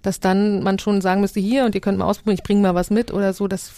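A woman with a mid-pitched voice, recorded dry and close. She explains things informally as a guest, in loosely built, conversational sentences. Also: flat delivery, fast talker, dark tone, no room sound. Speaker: project creator, guest. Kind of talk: casual explanatory chat.

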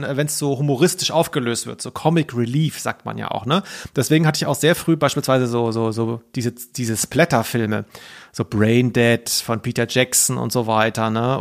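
A man co-hosting a podcast, chatting casually and humorously in a playful, self-deprecating way. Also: medium pitch. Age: adult, not elderly. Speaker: podcast co-host.

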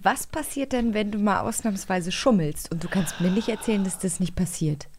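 A woman's voice: medium pitch, normal speed, a little mellow, slight background noise. A woman co-hosts a casual chat in a blunt, self-mocking way. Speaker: co-host.

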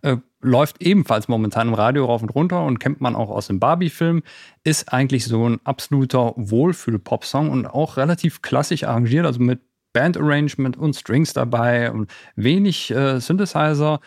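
A man's voice: medium pitch, normal speed, vocal fry, a little mellow, no echo, clean studio sound. A man hosting a podcast in a casual, chatty style. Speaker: podcast host. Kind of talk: casual chat.

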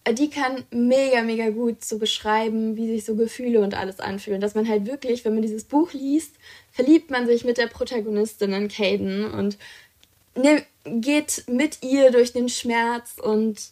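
A woman's voice: on the high side, moderate pace, a little mellow. A young woman co-hosting a podcast, chatting enthusiastically about books she loves. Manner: enthusiastic, chatty, many fillers. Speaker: podcast co-host presenting books. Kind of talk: casual podcast chat.

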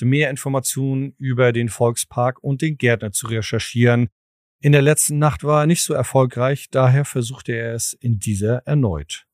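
Male narrator, audio drama storytelling, scripted, mid-pitched, very dry sound. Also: darkish tone, no echo.

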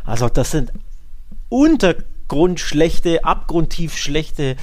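A man's voice: a little high, very animated, slow pace, a little mellow. A man co-hosting a football podcast, analysing casually and stressing his points by repeating them.

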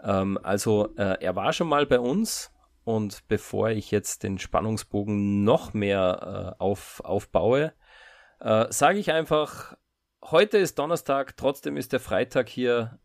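A sick male co-host with a slightly hoarse, gravelly voice. He rambles and jokes casually. The sound is dry. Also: lowish voice, slow, no room sound. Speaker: podcast co-host. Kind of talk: casual podcast chat.

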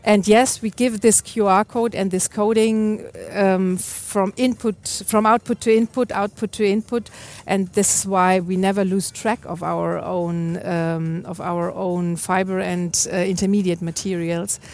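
Woman, medium pitch, company speaker fielding audience questions, unscripted, halting, explanatory. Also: little pitch movement, a little mellow. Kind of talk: unscripted panel answers.

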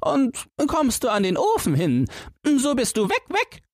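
A man voicing a gloomy, know-it-all old watchdog with a hoarse voice in a fairy tale. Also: very high-pitched, wildly swooping pitch, measured pace, dry recording, clean studio sound, voice pushed up, full character voice. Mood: discouraging.

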